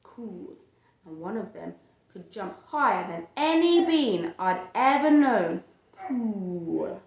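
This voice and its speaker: A woman reading a picture book aloud to a child and chatting about it, with expressive, drawn-out emphasis on phrases. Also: medium pitch, dull audio. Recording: roomy, echoey home recording.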